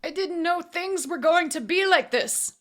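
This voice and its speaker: A woman voicing a short, frightened, tearful man who pleads in halting gasps, in an audiobook. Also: a little high, expressive, voice pushed up. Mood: panicked, tearful. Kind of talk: audiobook character voice.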